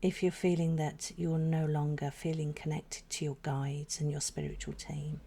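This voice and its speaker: A woman leading a guided meditation in a soft, breathy voice that often trails toward a whisper, phrasing slowly and soothingly with deliberate pauses. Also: deep voice, level tone.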